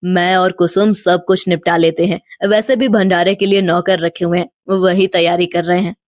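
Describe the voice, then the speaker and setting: Woman doing a kind, low-pitched village father. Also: flat delivery, moderate pace, dry recording, clean studio sound, lightly acted. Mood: humble, friendly. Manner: gentle, humble.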